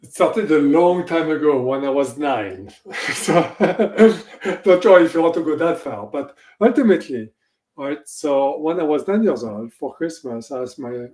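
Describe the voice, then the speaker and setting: A man's voice: a little high, lively intonation, measured pace, darkish tone. A man with a French accent answering questions as an interview guest, hesitant with many fillers and small chuckles. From France. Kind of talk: casual interview chat. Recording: echoey room.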